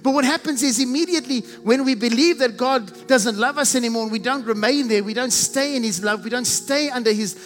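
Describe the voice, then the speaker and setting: High-voiced South African man preaching. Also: fairly bright tone. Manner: emphatic, repeats strings of synonyms, stretches key phrases slowly. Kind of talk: sermon. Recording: reverberant hall with faint music behind.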